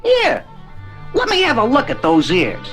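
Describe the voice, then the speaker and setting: A man's voice: very high voice, wildly swooping pitch, unhurried, fairly bright tone, soft background music, full character voice. An actor playing a small, plucky, friendly male circus mouse in a children's read-along story, brash, eager and encouraging.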